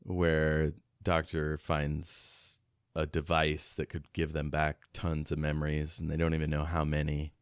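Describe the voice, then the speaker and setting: Slow-paced, low-voiced man chatting on a podcast. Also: monotone, smooth, dry recording, studio quality. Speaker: podcast co-host. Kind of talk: casual podcast chat.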